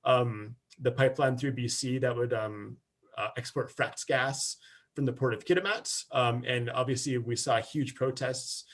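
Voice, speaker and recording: Male campaigner, video-call webinar talk, casual and filler-heavy, average pitch. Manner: loose, filler-heavy, conversational explaining. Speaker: climate campaigner presenting. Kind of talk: webinar slide presentation.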